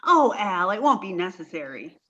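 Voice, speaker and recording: A woman's voice: mid-range voice, big pitch swings, normal speed, a bit bright, dull audio. A woman playing a sweet, dim, eager young woman in 1940s radio sitcom style, naive and earnest. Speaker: amateur theatre performer.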